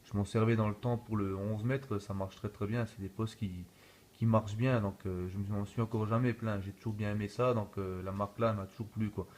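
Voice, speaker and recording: Low-voiced man chatting over radio. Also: fairly even intonation, fairly quick, a little mellow. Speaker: amateur radio operator. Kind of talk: casual radio contact chat. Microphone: phone filming in video mode. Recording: noisy room recording on a phone.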